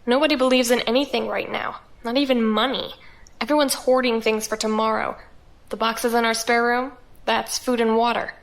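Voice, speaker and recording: A woman's voice: fairly high pitch, little pitch movement, moderate pace, close to an everyday voice. A woman playing a frank, cynical mother in an audio drama, blunt and matter-of-fact.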